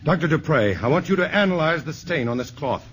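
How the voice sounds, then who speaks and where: A resolute scientist hero. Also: male voice, on the high side, expressive, fairly quick, a bit bright. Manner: tense, urgent and resolute. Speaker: physicist. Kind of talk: 1950s radio drama. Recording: old radio broadcast with hiss.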